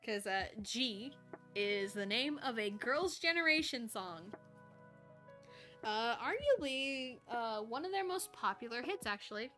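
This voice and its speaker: A woman's voice: on the high side, expressive, unhurried, bright, forceful tone. A woman streamer reading a story aloud in a playful, giggly way, with casual asides. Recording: music behind the voice.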